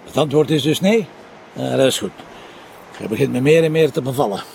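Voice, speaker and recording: An actor with a Flemish accent playing a cocky, sardonic middle-aged police commissioner in a TV crime drama, speaking colloquially with a gravelly voice. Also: male voice, medium pitch, brisk, a little mellow, slight background noise, natural voice. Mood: sardonic, instructive. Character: cocky, hard-drinking, teasing male police commissioner. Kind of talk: naturalistic TV crime drama dialogue.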